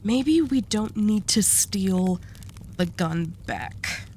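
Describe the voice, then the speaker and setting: Woman acting as a slow-talking seductive mafia boss. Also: medium pitch, animated, a little mellow, a little hiss or hum. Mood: calm, calculating. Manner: unhurried, teasing, coaxing menace. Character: dominant, seductive female mafia boss, possessive and teasing. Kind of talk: roleplay audio drama acting.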